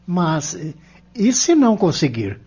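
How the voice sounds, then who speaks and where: Man playing a very raspy, inquisitive Brazilian youth. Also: high-pitched, big pitch swings, takes it slowly, darkish tone, exaggerated voice. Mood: curious, puzzled. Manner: questioning, inquisitive. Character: curious young man, eager pupil of mentor. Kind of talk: audio drama acting. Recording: dull lo-fi audio.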